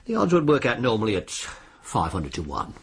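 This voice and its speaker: An actor playing a suave, sly disbarred lawyer in a radio drama, with a British accent and an insinuating, politely formal way of talking. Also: male voice, mid-range voice, huge pitch swings, fairly quick, breathy edge, full character voice. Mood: businesslike, sly. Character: suave, sly disbarred lawyer arranging sinister bets on deaths.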